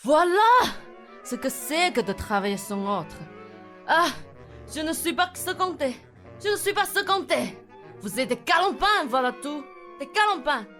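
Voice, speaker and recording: Woman as high, swooping, bossy gang leader. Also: sing-song, unhurried, fairly bright tone, faint music behind, exaggerated voice. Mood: indignant. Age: young adult. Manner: indignant, melodramatic, exclamatory. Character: bossy, theatrical male pickpocket gang leader, scolding his apprentices. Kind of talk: French stage farce performed as radio play.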